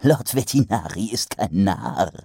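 A man voicing a pushy, fast-talking male street hawker with a wheedling sales patter that sinks into hushed whispers. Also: low voice, expressive, creaky, breathy edge, no echo, studio quality, exaggerated voice. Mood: conspiratorial sales pitch.

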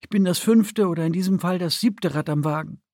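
A man's voice: sits high, fairly quick, a little mellow, no echo, clean studio sound, voice pushed up. A man voicing an insecure, anxious and resentful young female recruit with a hesitant, inward, self-doubting manner in an audiobook.

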